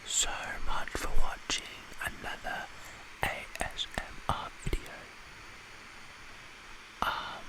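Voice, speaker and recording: Slow whispering male ASMR creator. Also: fairly high pitch, a bit bright, rough recording. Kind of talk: whispered ASMR, addressing viewers.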